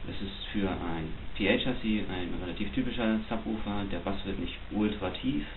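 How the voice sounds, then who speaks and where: A man's voice: on the low side, normal speed. A man giving spontaneous, technical and explanatory unboxing commentary as a hobby reviewer. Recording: muffled phone-like sound, clear hiss.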